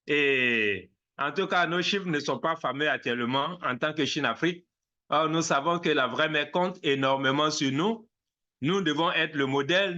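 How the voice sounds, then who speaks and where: A man delivers a formal address as a reverend, deliberate and exhorting, with repeated phrases for emphasis. His voice is bright and forceful at a middle pitch. The sound is slightly muffled, like an online call. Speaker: reverend giving opening address.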